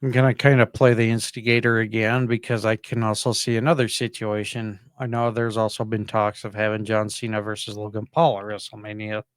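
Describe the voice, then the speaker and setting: Low-voiced man chatting casually about sports. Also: little pitch movement, fairly quick, hardly any pauses, smooth, no echo, clean studio sound. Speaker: podcast co-host. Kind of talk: casual sports prediction chat.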